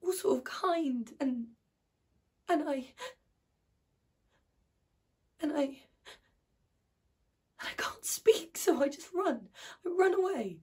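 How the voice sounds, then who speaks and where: A woman playing an outspoken, wounded 21-year-old idealist in a colloquial, emotional stage monologue, with a husky voice. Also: on the low side, normal speed, darkish tone. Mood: shaken, tearful.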